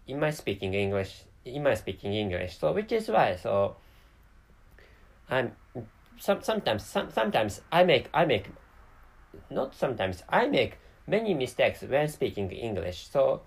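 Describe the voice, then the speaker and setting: Japanese-accented male podcaster, non-native English, plodding, self-correcting, lively intonation. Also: mid-range voice, creaky, husky. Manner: halting, many restarts and self-corrections. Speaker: podcast host. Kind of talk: solo podcast monologue.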